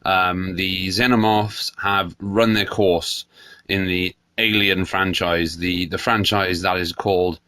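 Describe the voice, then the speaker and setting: A man's voice: low voice, flat delivery, slow pace, sharp tone, clear, resonant tone, dry recording. A man hosting a solo podcast, congested from a cold, rambling and opinionated. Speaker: podcast host. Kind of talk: solo casual podcast chat.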